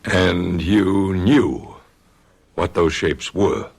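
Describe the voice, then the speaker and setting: An actor playing a calmly skeptical male doctor who questions deliberately in an old-time radio drama. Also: low-pitched, breathy edge, heavily acted. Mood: probing.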